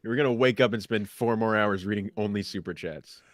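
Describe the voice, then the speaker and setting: A man's voice: fairly low pitch, brisk, no echo, clean studio sound. A man appearing as a guest co-host, bantering casually in a playful, slangy way.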